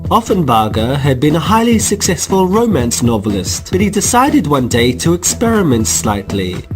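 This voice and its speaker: Male narrator, wry mock-formal delivery, lively intonation, music playing behind. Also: medium pitch. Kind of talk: scripted comic narration.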